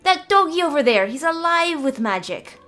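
Mischievous young druid girl read by a woman, excitable and taunting, pitched up, faint music under. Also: moderate pace, heavily acted. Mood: enthusing. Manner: high, loud, excitable taunting. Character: mischievous young female druid, bouncy and teasing. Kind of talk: storybook reading.

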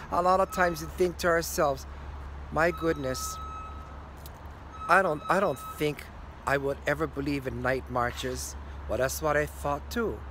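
An older man with a Hawaiian accent telling a ghost story to the camera, slowing down and going quiet at the key moments. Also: fairly high pitch, background noise. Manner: slow, hushed at key moments. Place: green screen studio. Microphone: facing camera at close range.

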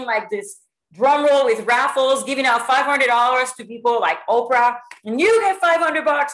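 A middle-aged woman from Puerto Rico giving a lively, motivational talk to her sales team over a video call, full of stories and fillers. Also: sits high, poor audio. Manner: lively, animated storytelling with many fillers. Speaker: sales executive speaking to a team. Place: home room with bookshelves. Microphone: video call webcam.

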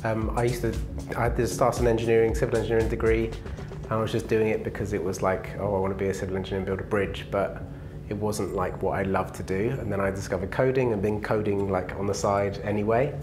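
A man in his thirties from England, answering interview questions in a relaxed, easygoing way with plenty of fillers, on a lapel mic in an indoor lounge. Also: fairly low pitch, fast talker, over music. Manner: relaxed, laughs easily, many fillers. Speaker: interview guest, engineering manager.

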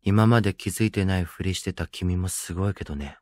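A man's voice: low voice, a little fast, darkish tone, dry recording, lightly acted. A man voicing a sceptical, wry young delinquent in an audio drama. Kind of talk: Japanese boys' audio drama.

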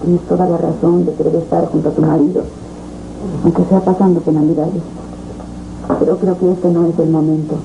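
A woman's voice: sits low, little pitch movement, moderate pace, gravelly, reverberant, lo-fi sound. A woman playing a kind, sympathetic, encouraging friend in a 1970s telenovela. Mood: supportive. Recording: noisy old TV videotape.

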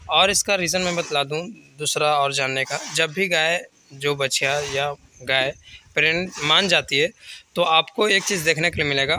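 Bright-voiced Bihari dairy farmer vlogging. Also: male voice, on the high side, slight background noise. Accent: Bihari Hindi. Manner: conversational, explanatory, mixes English words. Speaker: dairy farmer and channel host. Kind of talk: casual walkthrough vlog. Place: open-sided cattle shed outdoors.

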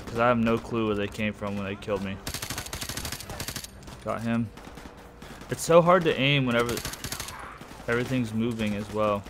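A man's voice: medium pitch, normal speed, long pauses, background noise. A man giving casual, slangy commentary as he plays a video game, grumbling in exasperation. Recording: game gunfire behind the voice. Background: shooter game gunfire and military chatter.

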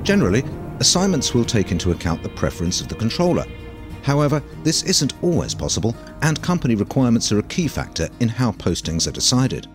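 A man's voice: mid-range voice, sing-song, fast talker. A man reading scripted documentary narration in a formal, informative manner. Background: guitar music.

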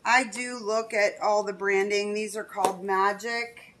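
A woman's voice: mid-range voice, steady tone, a little slow, crowd noise. A middle-aged woman hosting a vlog, chatting unhurriedly to viewers while sorting through a storage unit. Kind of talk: casual narration to viewers. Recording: clattering noise of handled items.

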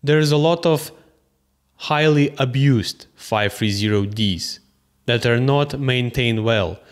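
A man's voice: mid-range voice, slow pace. A young man from Lithuania with a Lithuanian accent, chatting eagerly about cars to camera from his bedroom, in slightly broken English. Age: young adult. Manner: animated, gestures a lot, slightly broken English. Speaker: car vlogger. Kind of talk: casual enthusiastic chat to camera. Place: bedroom, indoors. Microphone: studio mic on a stand.

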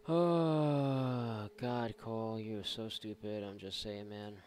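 A man's voice: on the low side, fairly even intonation, slow pace, a little mellow, slight background noise. A man giving casual, sarcastic, often profane commentary while playing a video game. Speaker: gaming video host. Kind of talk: casual let's play commentary.

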